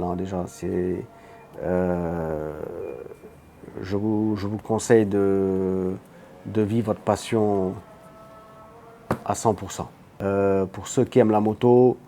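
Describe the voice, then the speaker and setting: A man's voice: fairly low pitch, mellow, a little hiss or hum. A man with a Senegalese French accent, a guest in an interview, talking in an earnest, emphatic way and often repeating his words. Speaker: guest, motorbike shop owner. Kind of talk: interview answers.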